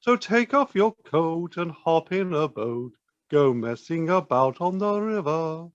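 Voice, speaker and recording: A man's voice: on the high side, expressive, a little slow, no room sound. A man singing a jaunty folk-style song in a muffled lo-fi recording.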